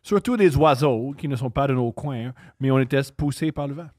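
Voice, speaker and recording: A man's voice: medium pitch, normal speed, dry recording, clean studio sound. A man, joking and reading aloud in a casual podcast chat. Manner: jokey, loud interjections.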